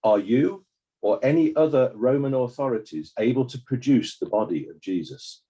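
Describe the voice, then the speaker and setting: An actor playing a formal court examiner, speaking in a measured, deliberate way in a scripted dramatized inquiry. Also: male voice, medium pitch, animated, warm tone, slightly rough, poor audio. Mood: probing, neutral. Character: formal, probing male inquest examiner.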